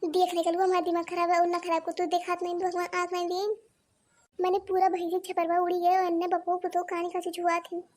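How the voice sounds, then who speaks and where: Super high squeaky cartoon village wife, awadhi accent, always moaning and telling everyone off. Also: female voice, completely flat delivery, a little fast, darkish tone. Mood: angry scolding. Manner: wailing, complaining, exclamatory. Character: loud, fretful, scolding village wife and mother. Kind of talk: village comedy cartoon dialogue.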